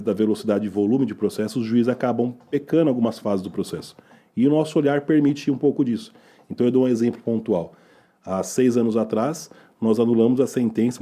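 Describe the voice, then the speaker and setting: A man's voice: medium pitch, warm tone. A middle-aged Brazilian lawyer, a man, chatting as a guest, teasing his host and explaining his work at length. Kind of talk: casual interview chat.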